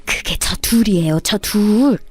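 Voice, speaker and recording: A woman voicing a nosy, chummy older female cleaner in an animated, gossiping way for a story reading. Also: medium pitch, unhurried, slightly rough, no room sound, faint music behind. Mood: scandalised gossiping. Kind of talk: dramatised radio story reading.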